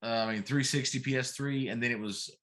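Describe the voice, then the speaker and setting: Middle-aged man, talk show host, medium pitch, emphatic griping, casual chat. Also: steady tone, quick pace, a bit bright. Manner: ranting, emphatic complaining. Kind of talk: casual chat about video games.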